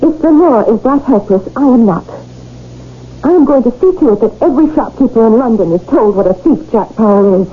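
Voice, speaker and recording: An actress playing a stern, sharp-tongued shopkeeper's wife in a radio drama, firm and scolding in manner. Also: female voice, a little high, expressive, fairly quick, slightly rough, narrow, tinny audio, soft background music. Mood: indignant, vengeful. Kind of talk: 1970s radio drama acting. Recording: thin old radio broadcast.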